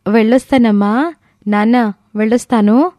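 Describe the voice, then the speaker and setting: A woman's voice: on the high side, normal speed, warm tone, dry recording, lightly acted. A woman playing a composed, earnest young woman in a family story. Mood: reassuring. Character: composed young wife and daughter in a family tale. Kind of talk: acted story dialogue.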